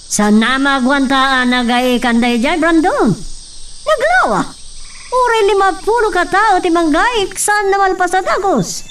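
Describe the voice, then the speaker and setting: A woman's voice: very high voice, a little slow, fairly bright tone, recorded from a distance, slight background noise. A woman playing a stern, exasperated wealthy mother in a radio drama.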